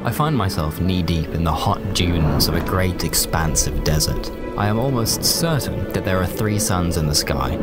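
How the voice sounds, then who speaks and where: A British man narrating a scripted comic story in the first person with a wry, understated manner. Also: low-pitched. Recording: loud music bed under the voice.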